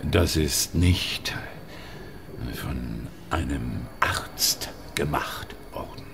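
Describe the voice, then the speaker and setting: An actor playing a reticent, evasive male alien official in a radio drama, halting and hushed. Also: very deep voice, vocal fry, noisy background, full character voice. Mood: guarded, halting.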